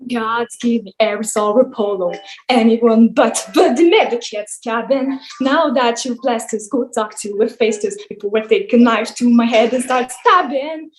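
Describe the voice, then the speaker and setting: Woman playing jaded male wine god, high voice, sardonic, theatrical complaining, musical table read, noisy mic. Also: moderate pace, natural voice. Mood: weary, impatient. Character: jaded, sarcastic male wine god running a summer camp.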